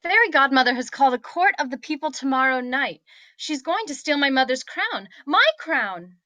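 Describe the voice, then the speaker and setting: Haughty young-royal princess, acted by a woman, high-pitched, forceful, prim diction, imperious, dry close sound, table-read performance. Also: lively intonation, moderate pace, no echo. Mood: indignant. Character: haughty, entitled princess, proud and petulant. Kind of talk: acting in a film script table read.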